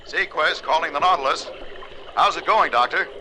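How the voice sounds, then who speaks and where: An actor playing a capable, wry ship's crewman with brisk radio-operator delivery, in a vintage cartoon adventure style. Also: a little high, very noisy, natural voice. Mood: breezy. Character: capable, wry male crewman on a research ship. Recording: music playing behind the voice.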